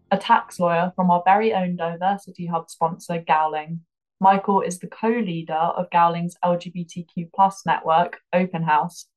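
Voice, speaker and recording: Low, very even-toned female interviewer. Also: poor audio. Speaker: podcast host, interviewer. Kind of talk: podcast interviewing.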